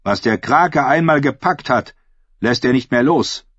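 A man's voice: medium pitch, animated, unhurried, fairly bright tone, slightly rough, no room sound, highs cut off, pitched above the performer's own voice. A man voicing a stern, unyielding veteran sea captain in an audiobook, speaking in weighty pronouncements. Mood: grim, contradicting. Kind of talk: dramatic audiobook character voice.

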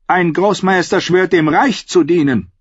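A frail old grand maester with a raised, husky, wavering voice, stumbling over his words as he pleads, as a male reader puts him on. He sounds timid and eager to please. The recording is dry and slightly dull. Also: high-pitched, dry recording, voice pushed up. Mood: nervous. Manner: stammering, pleading, halting.

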